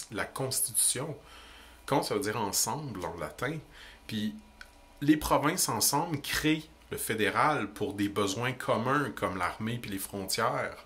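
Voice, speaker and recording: A young man with a Québécois French accent, ranting indignantly into the camera about politics in quick, emphatic bursts. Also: mid-range voice, a bit bright. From Quebec. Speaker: vlogger. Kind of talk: heated political rant.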